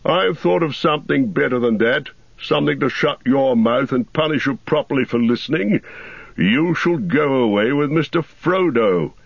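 A man's voice: medium pitch, normal speed, gravelly, breathy edge, dry recording, muffled recording, voice pushed up. A man voicing a stern, wise old male wizard who speaks in a grave, commanding way. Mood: grave counsel.

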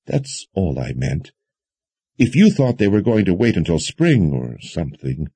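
A man voicing an earnest, nervous young mage in an audiobook, hesitant, halting and politely deferential. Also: low voice, very animated, dark tone, husky, no room sound, heavily acted. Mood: flustered. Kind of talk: audiobook character dialogue.

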